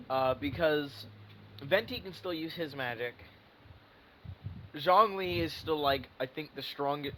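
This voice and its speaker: A man's voice: mid-range voice, a bit bright, rough recording. A man giving casual gameplay commentary, rambling and thinking aloud as he goes. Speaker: game streamer.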